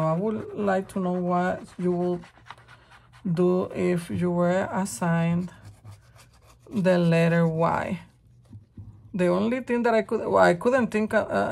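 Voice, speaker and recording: Raspy-voiced woman with a cold. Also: mid-range voice, darkish tone. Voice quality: rough, raspy voice. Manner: slow, chatty, thinking aloud while working. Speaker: crafting channel host. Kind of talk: casual craft tutorial talk.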